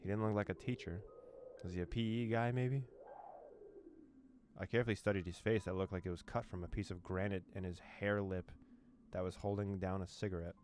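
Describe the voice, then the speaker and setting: A young man with a low, deep voice reads a horror visual novel aloud to his viewers. He breaks off from the text now and then with quick reactions and short laughs. A faint hum of game sound sits behind him. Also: steady tone, darkish tone. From former USSR. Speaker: let's play host.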